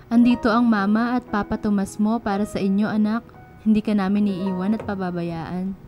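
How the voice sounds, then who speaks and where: A woman's voice: mid-range voice, steady tone, moderate pace, a little mellow, lightly acted. A woman playing a protective, loving, humble mother in a radio drama. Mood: tender, reassuring. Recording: music bed behind the voice.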